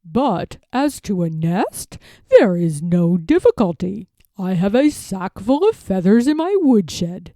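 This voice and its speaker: A woman voicing a sly, self-assured gentleman fox from a storybook, speaking in formal, drawn-out, over-polite phrases. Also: medium pitch, very animated, no room sound, clean studio sound. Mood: smooth, obliging. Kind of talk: storybook character voice.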